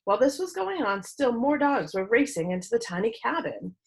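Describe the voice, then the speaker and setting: A woman reading a children's book aloud as a storyteller, with expressive narration. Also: fairly high pitch, fairly quick, gravelly.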